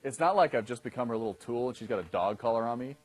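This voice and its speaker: Husky-voiced man in his thirties teaching. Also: mid-range voice, animated, quick pace. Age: 30s. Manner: conversational, often checks in with "okay?". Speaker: dating coach instructor. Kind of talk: instructional talk to a class.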